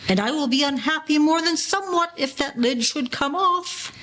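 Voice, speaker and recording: A man playing a good-natured crooked gangster of about fifty with a high voice, in a 1940s-style audio play. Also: normal speed, bright, forceful tone. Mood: affable, sly. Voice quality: high-pitched. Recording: noisy recording with clicks.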